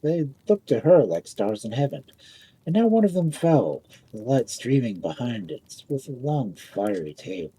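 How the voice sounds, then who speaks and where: A man reading a fairy tale aloud as a storyteller, dramatic and expressive, drawing out phrases for effect. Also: medium pitch, a little slow, breathy edge, no echo, low-quality recording.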